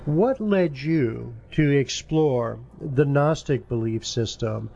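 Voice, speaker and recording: A man hosting a radio interview, his mid-pitched voice gravelly with fry. He asks long, relaxed questions. The sound is dull and lo-fi. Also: slow pace. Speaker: radio host.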